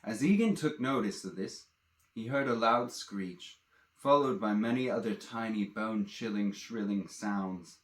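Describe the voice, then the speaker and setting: A man's voice: lowish voice, measured pace. A man telling a fantasy adventure story in a dramatic, theatrical way, addressing listeners directly. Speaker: storyteller and author reading his own fantasy tale. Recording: roomy echo.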